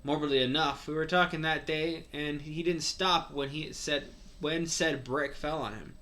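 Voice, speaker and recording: A man's voice: on the high side, steady tone, moderate pace, bright, forceful tone. A man reading a story aloud as a co-host, slipping in casual asides.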